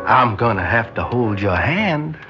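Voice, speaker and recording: An actor playing a sly, smooth-talking younger man, a charmer in debt, in a radio drama. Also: mid-range voice, big pitch swings, measured pace. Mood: flirtatious, tender. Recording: dull lo-fi radio drama, music behind.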